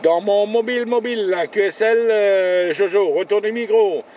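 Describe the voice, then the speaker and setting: A man's voice: sits high, normal speed, darkish tone, slight background noise. A man chatting casually as an amateur radio operator, using call signs and jargon and repeating words for emphasis. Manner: repeats words, often ends phrases with "là". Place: inside a car, mobile radio station.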